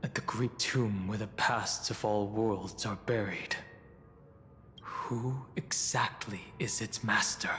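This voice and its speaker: A grave, pensive fantasy game character with a low voice. He speaks with dramatic seriousness, in long hall reverb over background noise. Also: steady tone, slow, pushed and bright. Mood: somber, pensive.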